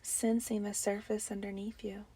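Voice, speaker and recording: Woman, meditation guide, mellow tone, breathy softness, soothing delivery, long pauses, near-whispered phrase endings. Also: medium pitch, little pitch movement. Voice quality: soft, breathy, often trailing into near-whisper.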